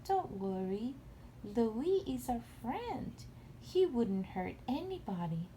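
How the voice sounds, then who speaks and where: A woman's voice: on the high side, sing-song, takes it slowly, dark tone, slight background noise, pitched above the performer's own voice, low-quality recording. A woman voicing a kind young princess in a bedtime story, encouraging and caring.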